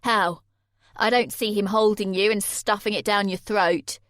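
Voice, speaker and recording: A jealous, violent young man, as a woman reads him, speaks loud, harsh and accusing. The voice stays near the reader's own pitch, pushed hard. The sound is close and dry. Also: fairly even intonation, unhurried, no room sound, lightly acted. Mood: furious.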